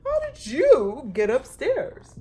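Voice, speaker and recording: A woman voicing a suspicious male night watchman in a storybook, questioning loudly and emphatically. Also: sits high, wildly swooping pitch, slow pace, voice pushed up, full character voice. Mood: surprised.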